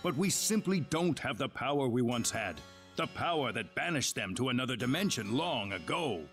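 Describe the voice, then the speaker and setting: A grave male leader from a fantasy video game, formal and courteous. His mid-pitched voice moves expressively as he explains things in measured phrases. Music plays behind him. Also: lively intonation, fairly bright tone. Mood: solemn, urgent. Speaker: voice actor in a fantasy role-playing game. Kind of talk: dramatic video game dialogue.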